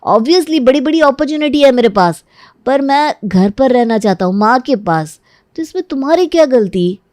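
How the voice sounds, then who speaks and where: A woman's voice: sits high, animated, normal speed, a little mellow, no room sound, studio quality. A woman voicing a doting, earnest young fiancé in a cartoon, a coddling mama's boy. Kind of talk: Hindi cartoon story acting.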